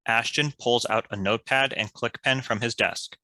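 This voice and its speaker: A man's voice: medium pitch, normal speed, pushed and bright, no echo. A man reading screenplay stage directions as the narrator of a scripted table read.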